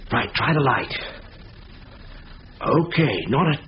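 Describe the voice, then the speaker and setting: An actor playing a bossy, exasperated leader of a burglary gang in a 1970s radio comedy thriller, giving terse orders. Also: male voice, mid-range voice, lively intonation, takes it slowly. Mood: brisk, commanding. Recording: dull old radio recording, background music.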